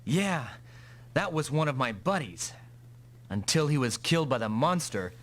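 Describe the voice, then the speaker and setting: An actor playing a calm, determined male mech pilot in an anime-style English video game dub. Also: medium pitch, normal speed, heavy background noise, lightly acted. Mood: calm, matter-of-fact.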